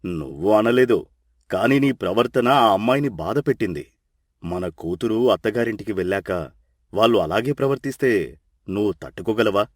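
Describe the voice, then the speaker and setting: A man playing a calm older father-in-law in a family story, measured and persuasive as he reasons. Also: lowish voice, no room sound, studio quality. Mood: gently reproving.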